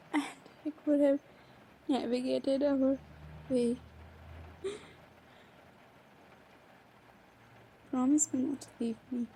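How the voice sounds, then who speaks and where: Woman acting out a shy flustered girl in a romance roleplay audio, really slow n stammery, kinda high voice, some bg noise. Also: fairly even intonation, mellow, rough recording. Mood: shy, pleading. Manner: hesitant, stammering, breaking off and repeating words. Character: flustered, shy young woman confessing to her stepbrother.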